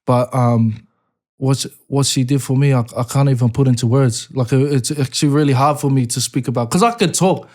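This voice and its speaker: A man from American Samoa, a guest rapper in a casual interview, laid-back, swearing a lot and breaking into laughing excitement. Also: mid-range voice, a little fast.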